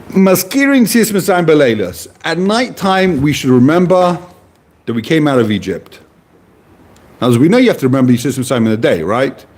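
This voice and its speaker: A man's voice: medium pitch, darkish tone. Man teaching Talmud conversationally. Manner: conversational explaining, mixing Hebrew terms with English. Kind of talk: casual Talmud lecture.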